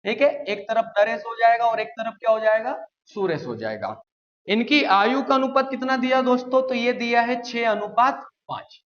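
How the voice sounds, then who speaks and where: High-voiced man teaching a maths lesson. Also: expressive, moderate pace, a bit bright, highs cut off. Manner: explains step by step, often asks and answers his own questions; calls viewers "friends" often. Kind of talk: exam-prep maths lesson.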